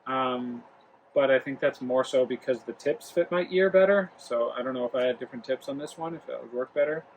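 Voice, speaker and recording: A man's voice: medium pitch, fairly quick, a little hiss or hum, rough recording. Young man, casual rambling home review. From Rhode Island. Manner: casual, rambling, hesitant with fillers and restarts. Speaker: travel vlog host. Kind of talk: casual product review vlog. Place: home, living room near the kitchen.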